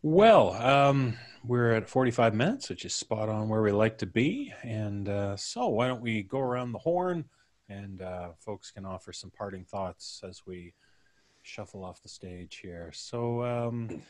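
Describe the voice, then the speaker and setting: A man hosting a casual group chat with a polite, gently mock-stern way of joking. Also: on the low side, animated, measured pace. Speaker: podcast host.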